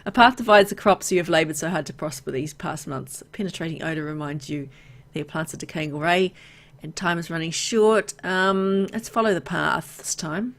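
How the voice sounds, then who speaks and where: A woman's voice: deep voice, normal speed, hardly any pauses. A woman reading a video game's story aloud, mixed with casual, playful asides as she plays. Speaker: video game let's player.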